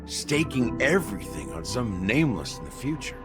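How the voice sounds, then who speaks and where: A man's voice: medium pitch, big pitch swings, normal speed, over loud music, lightly acted. An actor playing a weary, wry veteran man in a video game, speaking in a laconic, resigned way. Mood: resigned, grave. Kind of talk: dramatic video game dialogue.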